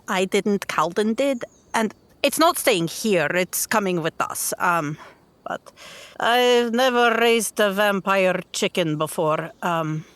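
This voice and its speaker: A woman's voice: mid-range voice, fairly bright tone, no room sound. A woman voicing a tough, blunt young warrior in a tabletop game, hesitant and wry, anxious for her mother.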